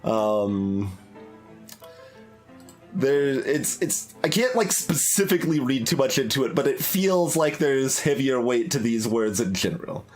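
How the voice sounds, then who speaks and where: A man's voice: medium pitch, sing-song, a little slow. A man giving casual playthrough commentary, thinking aloud in a hesitant, rambling, analytical way. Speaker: game streamer. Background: background game music.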